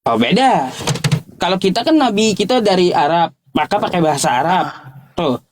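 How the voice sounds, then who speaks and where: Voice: mid-range voice, expressive, normal speed, slight background noise. A debater, speaking informally and insistently, challenging and repeating points in a religious argument. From Indonesia.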